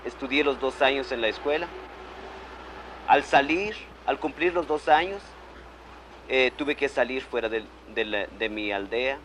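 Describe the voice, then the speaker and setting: A man's voice: medium pitch, measured pace, a bit bright, a little hiss or hum, poor audio. A man with a Guatemalan accent telling his life story as testimony, plainly, repeating and rephrasing himself. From Quiché, Guatemala. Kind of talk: autobiographical testimony.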